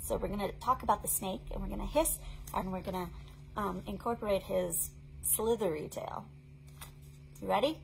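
A woman in her thirties presenting a storytime for young children in an animated, sing-song, encouraging way. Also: medium pitch, normal speed, gravelly, long pauses, slight background noise, rough recording. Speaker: children's librarian hosting an online storytime. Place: small indoor play tent set up for recording.

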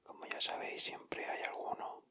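A man with an accent from Spain, speaking in a breathy, unvoiced whisper as he gives casual ASMR commentary. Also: moderate pace. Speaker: ASMR video host. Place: indoors at a table.

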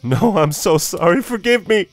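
A man's voice: high voice, animated, normal speed, dry recording, faint music behind, pitched above the performer's own voice. A man voicing a timid, guilt-ridden younger brother who stammers and pleads in a horror story. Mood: desperate, pleading. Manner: stammering, apologetic.

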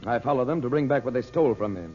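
An actor playing a calm, capable uncle leading a desert expedition in an old radio drama. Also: male voice, mid-range voice, racing, a little hiss or hum, close to an everyday voice. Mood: purposeful. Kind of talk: 1940s radio drama acting. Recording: dull old radio recording.

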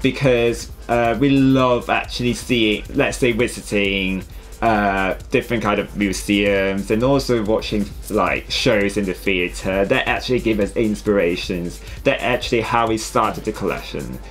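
A man's voice: fairly low pitch, measured pace, soft background music. A young man from Hong Kong with a Hong Kong accent, chatting casually as a designer being interviewed in a small studio. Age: young adult. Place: small studio room with clothing rails, indoors.

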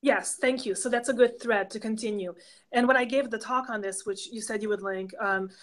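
A woman's voice: a little high, brisk, crowd noise. A woman in her thirties speaks with a Hungarian accent, explaining ideas patiently and listing one example after another. She talks over a webcam on a video call from a book-lined home office. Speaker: professor of pastoral theology, guest. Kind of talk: casual interview conversation.

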